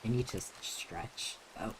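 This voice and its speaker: A man's voice: measured pace, noisy background. Rambling young man with a feminine lilt. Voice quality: voice neutral leaning feminine, light feminine delivery. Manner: rambling, many restarts and filler words. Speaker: game streamer. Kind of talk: casual live-stream chat while playing.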